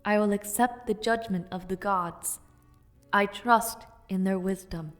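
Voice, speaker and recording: A woman playing an earnest, resolute young noblewoman of a fantasy realm in an audio roleplay, speaking formally and with measured care. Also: mid-range voice, steady tone, soft background music, close to an everyday voice. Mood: solemn, proud.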